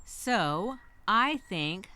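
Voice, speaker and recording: A woman's voice: medium pitch, expressive, very slow, pushed and bright, clean tone, full character voice. A woman playing a nervous, wry castaway who rambles and reassures herself in a spoken journal monologue. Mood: planning, uneasy. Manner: rambling, nervous, self-questioning, sarcastic asides. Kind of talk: first-person dramatic monologue as spoken journal.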